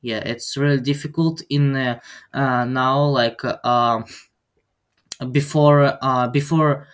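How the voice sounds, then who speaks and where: A teenage boy from Ukraine speaks with a Ukrainian accent, feeling his way through English with many ums and uhs. His delivery is unhurried and drawn out, and his voice comes across smooth and clean. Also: mid-range voice, steady tone, a bit bright. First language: Ukrainian and Russian. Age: teens. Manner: halting, hesitant non-native English with many fillers. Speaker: guest. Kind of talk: podcast interview.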